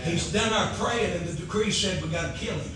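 A man preaching a shouted, fervent revival sermon in a church. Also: high-pitched, fairly quick, crowd noise. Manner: loud, fervent, preaching in bursts. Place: church sanctuary. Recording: echoey church, far from mic, congregation responding.

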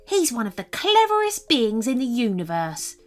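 A woman's voice: a little high, wildly swooping pitch, moderate pace, soft background music, voice pushed up. A woman voicing a small green male alien, nervous, eager and apologetic, in a children's story. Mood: eager, admiring.

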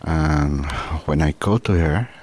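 A man from Chile with a Chilean Spanish accent, narrating an informal radio demonstration in a halting way on a handheld camera. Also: extremely low pitch, darkish tone. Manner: halting, with many pauses. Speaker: amateur radio operator and vlogger. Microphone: handheld camera's built-in microphone. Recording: noisy handheld camera audio.

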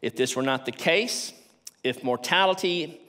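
A man in his sixties with a Texan accent, giving a measured academic lecture and reading quotations aloud from a stage lectern. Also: mid-range voice, bright, forceful tone. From Texas, United States. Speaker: theology professor, conference speaker. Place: large auditorium stage at a lectern.

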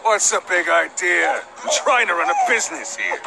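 Gravelly, sharp-voiced greedy butcher. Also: male voice, high-pitched, wildly swooping pitch, moderate pace, full character voice. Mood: gruff, scornful. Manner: hawking, haggling, condescending. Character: gruff, greedy male pork butcher running a market stall. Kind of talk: animated video game acting.

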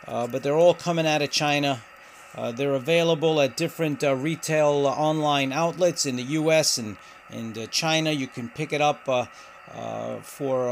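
A man hosting a ham radio channel, giving a casual product review in a halting, hesitant way. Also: a little high, fairly bright tone, a little hiss or hum.

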